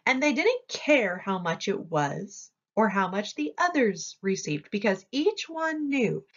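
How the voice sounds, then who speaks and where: A woman reads a children's picture book aloud. She goes slowly and deliberately, with plenty of movement in her pitch and an expressive storytelling delivery. The sound is slightly dull. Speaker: storybook reader.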